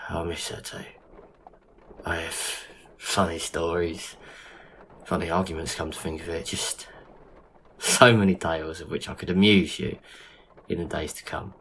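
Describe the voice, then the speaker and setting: A man playing a loyal gentleman friend in a period audio drama, talking in a matey, colloquial way. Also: deep voice, level tone, unhurried, long pauses. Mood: grieving. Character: loyal, grieving gentleman friend, informal and companionable. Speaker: voice actor. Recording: noisy amateur audio drama recording.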